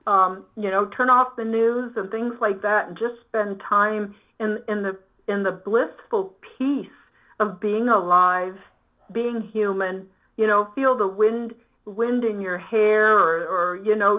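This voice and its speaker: A woman with a fairly low voice speaks as an interview guest. She is earnest and reflective, talking conversationally. The audio is thin and phone-like, with a narrow band. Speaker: interview guest, spiritual health coach. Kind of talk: conversational spiritual talk.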